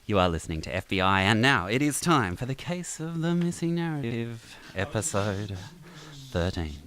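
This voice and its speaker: A man's voice: fairly low pitch, sing-song, normal speed, a crowd in the background. A man delivering melodramatic scripted narration for a comedy radio serial.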